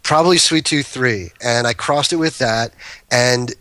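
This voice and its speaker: Man guest, bright, forward voice, dry close studio sound, rambling storyteller who stops and restarts. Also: medium pitch, measured pace, no room sound. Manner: rambling, self-interrupting anecdotes. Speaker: guest, cannabis breeder. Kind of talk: casual storytelling chat.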